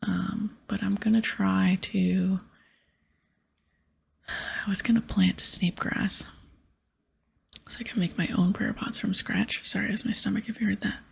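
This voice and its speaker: A woman whispering in a breathy ASMR style as she casually plays through a game, chatting softly and reading dialogue aloud. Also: mid-range voice, steady tone, measured pace, long pauses. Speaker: host of an ASMR gaming series.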